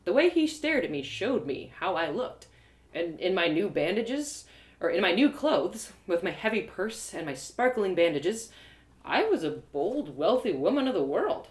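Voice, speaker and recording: A woman performing an animated, expressive first-person fantasy story as a dramatic narrator. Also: lowish voice, a little slow. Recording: roomy home live reading.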